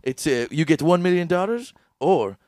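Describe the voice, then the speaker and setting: A man's voice: a little high, normal speed, no room sound. Young man, podcast banter, bouncy intonation, dry room. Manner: lively, laughing often, game-show voice bits. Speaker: podcast co-host. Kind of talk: crude comedic podcast banter. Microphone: studio podcast microphone.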